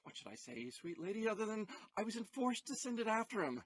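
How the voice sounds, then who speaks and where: A man's voice: sits high, very animated, fast talker, a little hiss or hum. A man playing an ardent young husband in a Shakespearean audio play, pleading earnestly and emphatically in verse. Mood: pleading, defensive.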